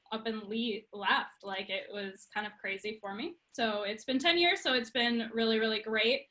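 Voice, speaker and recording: A woman's voice: a little high, pushed and bright, poor audio. A woman in her thirties with a Prince Edward Island accent, a youth soccer coach chatting casually and laughing often in an interview. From Prince Edward Island, Canada. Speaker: girls' program coach.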